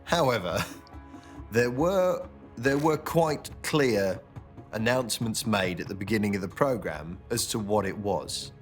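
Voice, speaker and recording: A man's voice: lowish voice, animated, unhurried, faint music behind. A man commenting informally in a documentary interview.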